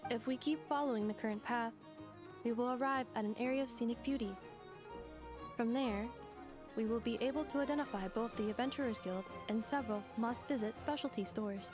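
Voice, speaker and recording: A woman's voice: fairly high pitch, brisk, lightly acted. A woman voicing a polite, helpful robot guide in a video game, formal and precise. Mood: helpful, neutral. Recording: game audio with music.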